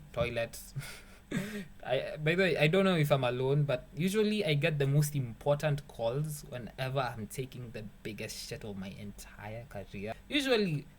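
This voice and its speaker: A young man with a Kenyan accent, a music producer giving a casual, rambling beat-making tutorial, joking and swearing, dropping Swahili phrases. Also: a little high. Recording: faint background noise.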